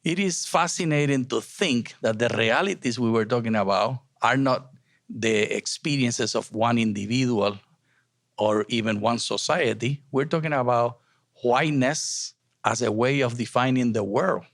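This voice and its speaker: A man introducing a lecture series as an academic host, speaking earnestly and deliberately in short emphatic phrases. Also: medium pitch, no echo, studio quality.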